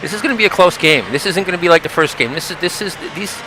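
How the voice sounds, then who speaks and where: A man's voice: sits high, quick pace. Brief: male color commentator, chatty and rambling, noisy broadcast. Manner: rambling, repeats words while searching.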